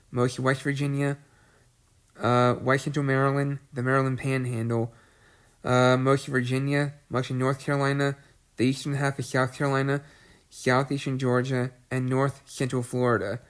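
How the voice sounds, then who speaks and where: A man who has a cold and sounds congested, giving a weather briefing, often clearing his throat and restarting phrases. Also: medium pitch. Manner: reads long lists of places in a level voice. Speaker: weather video host.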